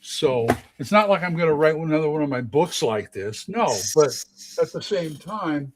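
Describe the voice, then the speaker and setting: A man in his late sixties in a casual chat, halting and repeating his words as he talks about running games. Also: a little high, animated. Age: 60s. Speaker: game master, co-host.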